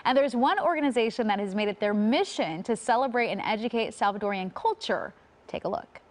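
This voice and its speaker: A woman's voice: fairly high pitch, animated, a little fast. A woman in her thirties anchoring a TV news segment, upbeat and encouraging, on a lapel mic in the studio.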